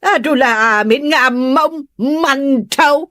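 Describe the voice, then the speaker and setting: A woman's voice: high voice, takes it slowly, pushed and bright, no room sound, clean studio sound, exaggerated voice. A woman playing a gossipy, nosy neighbour who grumbles in a catty drawl in a comedy radio drama. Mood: indignant.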